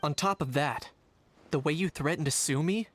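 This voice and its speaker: An actor playing a cool, unsentimental young man in a game, speaking in a dry, measured, deadpan way. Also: fairly high pitch. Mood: cool disbelief. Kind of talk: game voice acting. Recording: music behind the voice.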